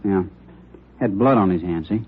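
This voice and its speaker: An actor playing a matter-of-fact police fingerprint examiner in an old radio drama, with a clipped, conversational delivery. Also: male voice, low-pitched, moderate pace, slightly rough. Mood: puzzled. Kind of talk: 1940s-50s radio drama, naturalistic police dialogue. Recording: tinny old radio recording, faint noise.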